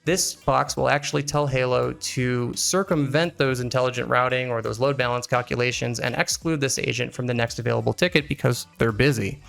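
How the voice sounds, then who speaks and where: A man narrating a software tutorial in an explanatory, conversational way, as an instructor walking through settings. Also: mid-range voice, creaky. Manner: explanatory, conversational, asks and answers own questions. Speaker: instructor, engineering executive. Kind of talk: software tutorial narration over screen recording. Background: background music.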